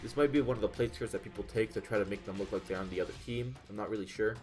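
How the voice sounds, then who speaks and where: Young man, low voice, nasal and stuffed up, rambling casual commentary, swears a lot, faint music underneath. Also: rapid-fire, a little mellow. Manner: rambling, profane, frequent fillers and restarts. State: congested, under the weather. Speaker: gaming YouTuber. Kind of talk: casual commentary reading patch notes.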